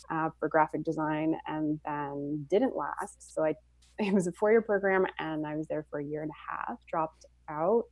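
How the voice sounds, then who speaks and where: A young woman from Switzerland talks through her path as an artist, unhurried and chatty. Her voice sits on the low side for a woman. She fills pauses with soft ums and little laughs. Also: dry recording. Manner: relaxed storytelling, frequent soft laughs and ums. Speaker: podcast guest, graphic designer and artist. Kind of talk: casual interview chat.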